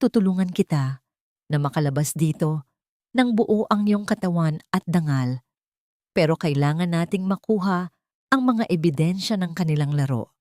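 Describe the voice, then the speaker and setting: A woman's voice: lowish voice, lively intonation, normal speed, dark tone, no room sound, clean studio sound, close to an everyday voice. A woman voicing a sickly, bitter and determined man in a romantic story drama. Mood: resolute.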